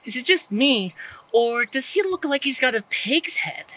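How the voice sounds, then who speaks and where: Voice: high-pitched, lively intonation, unhurried, pushed and bright, dry recording, heavily acted. A performer playing a bold, watchful teenager in a horror audio drama. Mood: uneasy.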